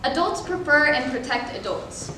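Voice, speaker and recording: High-voiced young woman presenting, very echoey room. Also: expressive, moderate pace, pushed and bright. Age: young adult. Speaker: college speech competitor. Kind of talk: scripted readers theater presentation. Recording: very echoey room, distant mic, faint noise.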